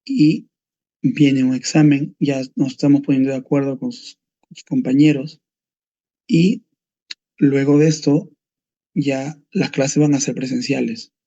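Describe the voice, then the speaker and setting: A man's voice: mid-range voice, steady tone, a little slow, pauses often, mellow, no room sound. A man, a doctor, giving an online medical lecture in a firm, explanatory way, speaking straight to his students over a video call. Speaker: doctor teaching a medical course. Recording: dull lo-fi video call audio.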